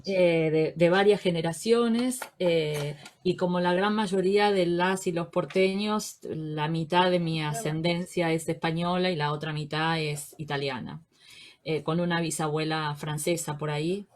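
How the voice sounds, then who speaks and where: A woman in her sixties from Buenos Aires with a Rioplatense accent, talking about her life in an interview, thoughtful and full of pauses and repetitions. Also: sits low, steady tone. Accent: Rioplatense Spanish. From Buenos Aires, Argentina. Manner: warm, reflective, with frequent fillers and repetitions. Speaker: interviewee, social worker. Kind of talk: relaxed interview conversation.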